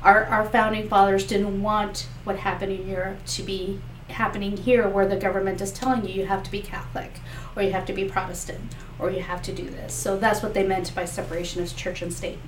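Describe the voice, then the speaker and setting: A woman's voice: mid-range voice, flat delivery, fairly quick. Woman candidate, Spanish native speaker, earnest, mic at a distance with faint background noise. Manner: earnest, talks in restarts with many fillers. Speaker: political candidate. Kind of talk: interview answers. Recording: echoey room, mic far off, faint noise.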